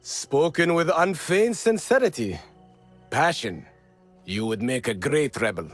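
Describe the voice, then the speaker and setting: Lively, spirited rebel leader. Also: male voice, on the high side, a little slow. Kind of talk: dramatic video game dialogue. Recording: music behind.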